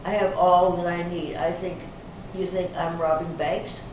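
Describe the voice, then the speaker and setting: A woman playing a feisty, indignant older suspect in an amateur readers theater reading, plain-spoken and slightly halting. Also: fairly low pitch, level tone, lightly acted. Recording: very echoey hall, distant mic, noisy.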